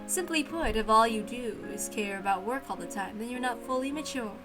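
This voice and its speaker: A woman's voice: fairly high pitch, a little fast, a bit bright. A woman reading a visual novel aloud as narrator, with music playing behind her. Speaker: reader voicing a visual novel. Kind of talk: animated read-aloud narration.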